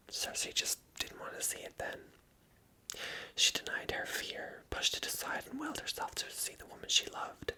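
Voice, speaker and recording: A woman reading a storybook aloud in a breathy, intimate ASMR whisper, with soft, informal delivery. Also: a bass voice, rough recording.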